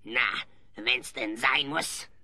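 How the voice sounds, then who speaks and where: An actor playing a sly old hunchbacked coachman and kidnapper with a hoarse, breathy rasp in an audio drama. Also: mid-range voice, slow, pushed and bright, no room sound, full character voice. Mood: grudging, cunning. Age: older. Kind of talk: German audio drama acting.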